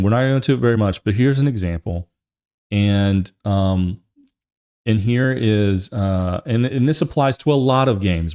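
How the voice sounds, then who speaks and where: A man's voice: lowish voice, darkish tone. A man hosting a gaming show, giving casual, opinionated commentary in a rambling, emphatic way and often repeating his phrases.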